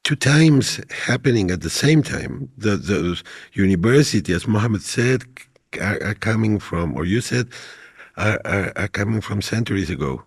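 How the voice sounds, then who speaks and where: Low husky voiced guy on a panel, lots of uh uh, dry sound. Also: lively intonation, normal speed, dry recording. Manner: hesitant, many stumbles and repeated words. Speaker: debate panelist. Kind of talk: panel discussion.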